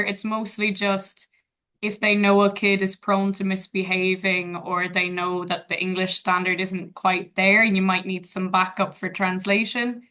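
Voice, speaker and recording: A woman's voice: medium pitch, sharp tone. A young Irish woman, an English teacher, answering webinar questions in a casual, thoughtful and friendly way. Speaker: guest, English teacher in Vietnam.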